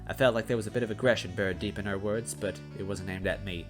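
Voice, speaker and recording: Low-voiced man reading a story. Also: steady tone, fast, music in the background. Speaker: amateur story reader and channel host. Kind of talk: story narration with casual asides.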